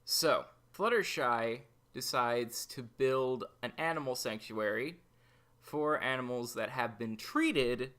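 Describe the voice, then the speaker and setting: A man hosting a review show, giving opinionated episode commentary in a deliberate way. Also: medium pitch, sharp tone. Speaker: host of an animated series review show.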